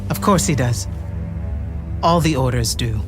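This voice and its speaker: A man acting a grave, world-weary mentor of magic in a video game, speaking in a measured, explanatory and cynical way. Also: medium pitch, over music, lightly acted. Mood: grave, resigned.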